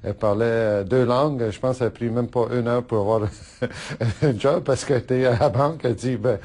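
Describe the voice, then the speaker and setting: A middle-aged man whose first language is Italian, answering interview questions in a halting way with many fillers and restarts, laughing often. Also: mid-range voice, fairly even intonation, a little mellow. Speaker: politician interviewee.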